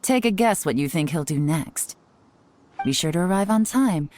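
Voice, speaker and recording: An actress playing a cool, shrewd intelligence chief in a video game, speaking with composed authority. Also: female voice, fairly low pitch, expressive, normal speed, a little mellow, a little hiss or hum, natural voice. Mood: calculating.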